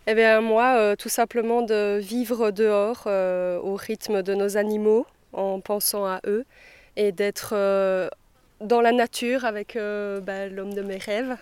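A woman with a Belgian French accent, chatting casually in an interview, dreamy and halting, laughing often. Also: mid-range voice, little pitch movement, darkish tone, clear, resonant tone, no room sound. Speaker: interviewee, traveler.

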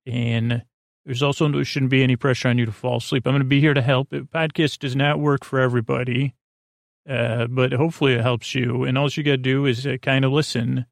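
A man hosting a sleep podcast with a meandering, goofy, halting monologue that drifts into tangents. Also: mid-range voice, little pitch movement, gravelly, dry recording, clean studio sound. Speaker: podcast host. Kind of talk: rambling bedtime monologue introducing a sleep podcast.

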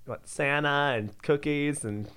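A man's voice: a little high, slow, fairly bright tone, slight background noise. An American man co-hosting a podcast with casual comic banter. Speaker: podcast co-host.

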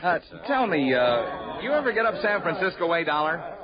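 Lively, genial stockbroker. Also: male voice, fairly high pitch, normal speed. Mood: chatty, friendly. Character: genial, chatty male stockbroker and weekend guest. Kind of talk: 1960s radio drama acting. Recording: dull old radio recording, background chatter.

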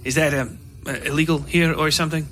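A man's voice: fairly high pitch, steady tone, fast talker, sharp tone, slight background noise, pitched above the performer's own voice. A man voicing a nervous, eager, polite traveling salesman who stammers and stumbles over his words, in an audio story.

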